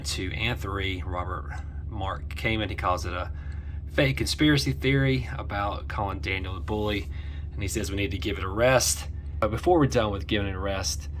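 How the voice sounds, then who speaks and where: Low-voiced man in a rambling video commentary. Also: noisy background. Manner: halting and rambling, repeats and corrects himself. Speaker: host of a film-fan video series.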